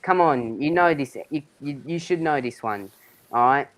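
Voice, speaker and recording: Mid-pitched man chatting on a panel. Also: moderate pace, a bit bright. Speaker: panel member. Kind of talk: casual group live-stream chat.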